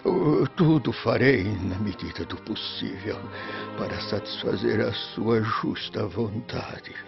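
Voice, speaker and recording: An actor playing a frail, devout old Roman father in a radio drama, solemn and tender, with a breathy, quavering voice. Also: on the low side, takes it slowly, exaggerated voice. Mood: grave, tender. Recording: muffled lo-fi, music underneath.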